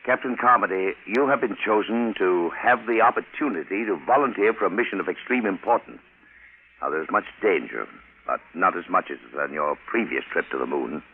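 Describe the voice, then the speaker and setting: An actor playing a grave, dignified President in a 1950s radio drama, speaking formally and with weight. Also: male voice, low-pitched, expressive, normal speed. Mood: solemn. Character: grave, dignified male President of a world alliance. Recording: tinny, noisy vintage radio broadcast.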